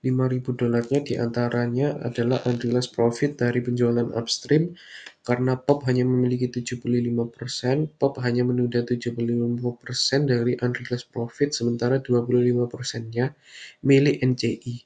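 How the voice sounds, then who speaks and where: A young man giving an explanatory slide presentation in a stilted, halting, read-out manner. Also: medium pitch, level tone, warm tone. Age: young adult. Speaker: university student presenter.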